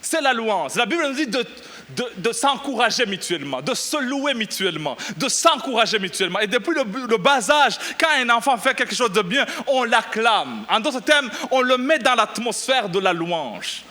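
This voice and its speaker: Pastor guy from burundi preaching in church, really high bright voice, gets loud and excited. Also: normal speed. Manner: animated, exclaiming and shouting at peaks. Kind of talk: sermon.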